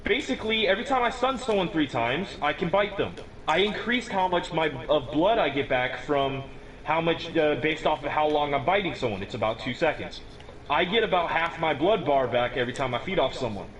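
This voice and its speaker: A man's voice: a little high, lively intonation, brisk, fairly bright tone, slight background noise, rough recording. A teenage boy explaining a game build in casual chat, rambling with many restarts and little chuckles. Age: teens. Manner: rambling, restarts often, chuckles at own remarks.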